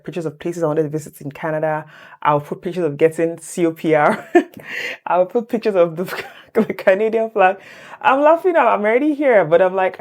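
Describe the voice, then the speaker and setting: A woman in her thirties telling her exam story to viewers in a chatty, animated way. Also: low-pitched. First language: English. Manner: animated, chatty, addresses viewers as guys, laughs easily. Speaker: vlogger sharing exam tips. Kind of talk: casual personal storytelling to camera.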